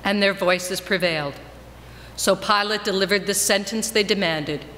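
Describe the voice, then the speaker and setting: A woman reads scripture aloud in a solemn, projected style. Her voice is bright and carries through a long church reverb, with some noise behind it. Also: mid-range voice, normal speed. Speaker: reader in a church Passion reading. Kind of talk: dramatic scripture reading. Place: large church, before a congregation. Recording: echoing church hall, noticeable noise.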